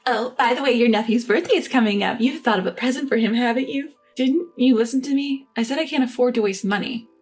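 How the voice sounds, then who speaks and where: A woman's voice: fairly high pitch, fast, few pauses, a little mellow, slightly rough, soft background music. A woman voicing a snide, entitled sister-in-law and young mother with a condescending, whiny manner. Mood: demanding.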